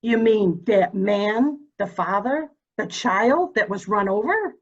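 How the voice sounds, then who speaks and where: A woman's voice: medium pitch, animated, slow, muffled recording. A woman acting an imperious, fussing lady of a mansion in a murder mystery reading. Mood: bewildered.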